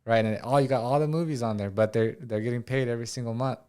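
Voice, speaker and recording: A man's voice: mid-range voice, fairly even intonation, fast talker, darkish tone, clear, resonant tone. A man hosting a casual podcast interview, laid-back and affirming, with slangy fillers. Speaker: podcast host.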